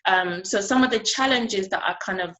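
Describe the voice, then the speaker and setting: A woman from Ghana speaking at a conference about her art, in an earnest, conversational way with frequent pauses. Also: mid-range voice, sharp tone. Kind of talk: conference speech.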